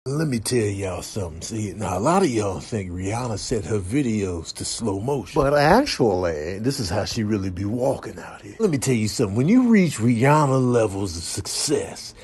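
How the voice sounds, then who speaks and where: Mock-serious man, animated comic commentary. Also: lowish voice, normal speed, few pauses, slightly rough. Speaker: comedian doing voiceovers.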